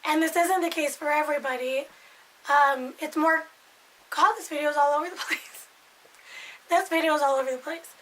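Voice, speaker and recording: A young woman in her twenties talks casually to camera in a raspy, hoarse voice that sits fairly high. She rambles a little, circling back and repeating herself as she goes. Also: normal speed, pauses often, fairly bright tone, rough recording. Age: 20s. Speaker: vlogger.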